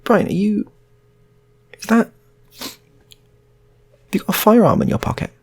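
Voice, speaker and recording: A man telling a story, voicing a bewildered, pedantic male workmate who questions haltingly and insistently. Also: sits high, big pitch swings, vocal fry, breathy edge, voice pushed up, full character voice. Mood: incredulous.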